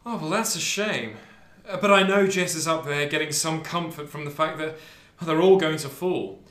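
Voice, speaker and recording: A man's voice: a little high, normal speed, a bit bright, lightly acted. A man playing a grieving, determined intelligence agent in earnest, conversational radio drama dialogue. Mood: bitter, subdued. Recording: echoey room.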